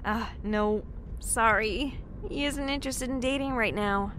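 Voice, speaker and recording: A woman's voice: on the high side, unhurried, fairly bright tone, a little hiss or hum. A woman playing a friendly, slightly flustered young working woman in an audio drama, polite and a little hesitant. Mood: casual.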